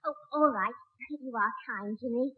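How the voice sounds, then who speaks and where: A woman's voice: a little high, moderate pace, natural voice. A woman playing a sweet, trusting young schoolgirl in a 1960s radio comedy, polite and eager to please. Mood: sweet, flattered. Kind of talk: 1960s radio sitcom acting. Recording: tinny, very noisy old radio recording.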